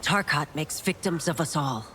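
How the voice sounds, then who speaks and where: An actress playing a loyal, resolute woman warrior and former priestess in a dramatic video game, speaking in a solemn, formal, measured way. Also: low voice, expressive, slight background noise. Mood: pleading, firm. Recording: loud cinematic music behind.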